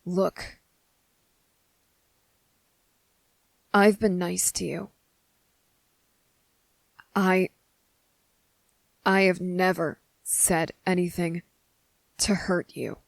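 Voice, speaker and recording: A woman voicing a guarded, defiant young boy with deliberate, halting, serious delivery in an ASMR roleplay audio drama. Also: on the low side, fairly even intonation, vocal fry, no room sound, heavily acted. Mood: firm, reproachful.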